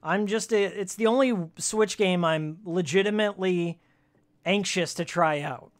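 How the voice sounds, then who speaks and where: A game streamer with a raspy voice worn from shouting, giving casual, joking, opinionated commentary and fan trivia in slangy language. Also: mid-range voice, a little slow. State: voice worn from screaming and ranting.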